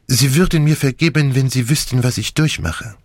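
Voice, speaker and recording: A man reading an audiobook, voicing a courteous, fatherly gentleman who speaks in a gentle, formal, florid way. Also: mid-range voice, normal speed, no echo, voice pushed up, close to an everyday voice. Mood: remorseful. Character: courteous, fatherly foreign gentleman, earnest and theatrically humble. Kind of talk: audiobook dialogue.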